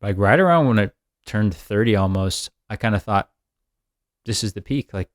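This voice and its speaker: A man's voice: lowish voice, lively intonation, moderate pace, dry recording, studio quality. A man in his mid-thirties, co-hosting a podcast in an upbeat, rambling chat. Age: 30s. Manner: rambling, upbeat, laughs often. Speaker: podcast co-host. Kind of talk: casual chat.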